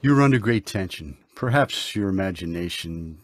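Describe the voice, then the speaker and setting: An actor playing a calm, kindly, mysterious doctor in an old-time radio drama, speaking gently and reassuringly. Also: male voice, deep voice, normal speed, no room sound.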